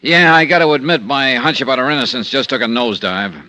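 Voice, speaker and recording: A man's voice: medium pitch, fast, pushed and bright, close to an everyday voice. An actor playing a wry, hard-boiled male insurance investigator in a 1950s radio drama, talking in a dry, clipped, sardonic way.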